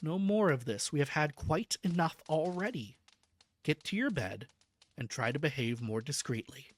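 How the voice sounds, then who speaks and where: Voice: medium pitch, animated, normal speed, pitched below the performer's own voice, lightly acted. A reader voicing a stern male asylum doctor giving firm orders.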